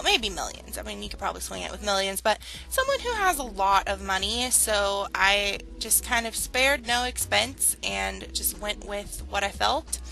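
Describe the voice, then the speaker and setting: A young woman chatting casually over a game build, a little hoarse from a cold, with lots of fillers. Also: mid-range voice, bright, forceful tone, rough recording. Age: young adult. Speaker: Sims builder and channel host. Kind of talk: casual speed-build commentary.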